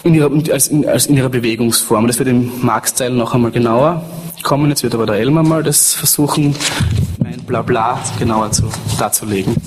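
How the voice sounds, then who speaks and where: A man's voice: medium pitch, fairly quick, a little hiss or hum. A man giving an academic lecture in dense, self-correcting phrasing.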